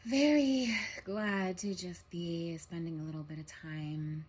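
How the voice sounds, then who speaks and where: A young woman with a head cold, congested and a little scratchy, giving a relaxed spiritual reading monologue with many ums. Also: lowish voice, slightly rough. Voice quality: scratchy throat. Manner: slow, soothing, with frequent um fillers. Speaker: tarot reader.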